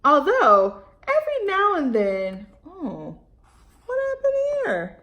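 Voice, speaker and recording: Slow, animated high-voiced woman reading to children. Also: roomy sound, dull audio. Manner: expressive, dramatic pauses, asking listeners questions. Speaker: children's storytime reader. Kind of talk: animated read-aloud for young children.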